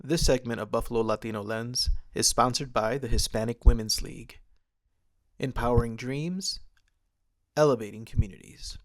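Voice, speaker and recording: A man's voice: medium pitch, normal speed. A man reading scripted announcements as the announcer of a community show. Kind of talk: scripted sponsor and show narration.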